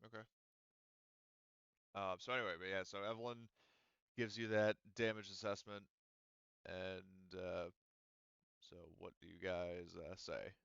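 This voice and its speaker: A man's voice: low-pitched, level tone, unhurried, vocal fry, long pauses, a bit bright, clear, resonant tone, no echo, muffled recording. A man acting as game master in a casual online tabletop game, rambling with lots of filler words. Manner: rambling, lots of ums and likes. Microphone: headset or desk mic on voice chat.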